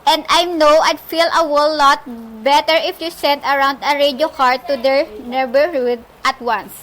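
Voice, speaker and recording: A woman's voice: high voice, steady tone, moderate pace, fairly bright tone, a little hiss or hum, low-quality recording. A woman playing an anxious, demanding bedridden wife in an amateur radio play, speaking insistently with nervous hesitations. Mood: insistent, indignant. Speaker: student actor in a group project.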